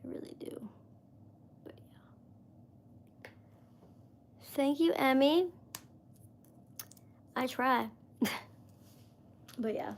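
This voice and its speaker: A woman's voice: high-pitched, very slow, long pauses, slight background noise. A woman in her mid-thirties chatting casually on a live stream, rambling with lots of fillers as she talks to viewers. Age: 30s.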